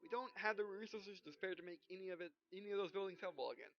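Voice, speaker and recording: A woman doing let's play commentary, reading game dialogue aloud in a halting way and often correcting herself. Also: medium pitch. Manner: halting, stumbling read-aloud with frequent self-corrections. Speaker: gaming video host. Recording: dull, muffled lo-fi microphone. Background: game music.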